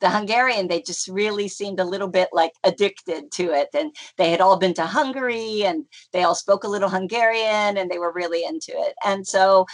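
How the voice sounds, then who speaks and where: A woman's voice: mid-range voice, a little fast, hardly any pauses. Middle-aged woman, interview guest, mid-range voice, rambling and chatty with lots of fillers. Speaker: interview guest, folk dancer. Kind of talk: casual conversational interview.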